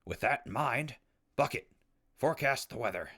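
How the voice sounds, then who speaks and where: A man's voice: medium pitch, expressive, moderate pace, bright, forceful tone. A man playing a wasteland traveler who narrates his crew's journey conversationally in an audio drama. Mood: curious. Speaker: narrator and crew leader.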